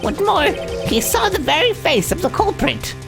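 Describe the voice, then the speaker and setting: A man voicing a nervous, desperate courtroom prosecutor from a video game, stammering through high-strung protests. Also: big pitch swings, normal speed, vocal fry, heavily acted. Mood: nervous insistence. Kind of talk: dramatic reading of a video game. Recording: game music behind the voice.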